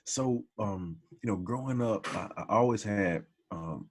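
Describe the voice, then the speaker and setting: A man's voice: on the low side, measured pace. A man in his forties, a teacher and podcast guest, talking in casual conversation, humble and friendly, calling people "man" often. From Texas.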